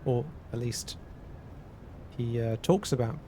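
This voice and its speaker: A man streaming casually, answering chat and working through math aloud in a halting way that repeats words. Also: warm tone. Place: cold indoor room. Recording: noisy with a low rumble.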